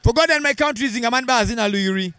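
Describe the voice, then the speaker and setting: High, bright street presenter. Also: male voice, very fast, clear, resonant tone, no room sound. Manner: sing-song presenter delivery mixing English and local language. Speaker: street interviewer. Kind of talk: street vox-pop interview. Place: busy town street outdoors. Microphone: handheld mic.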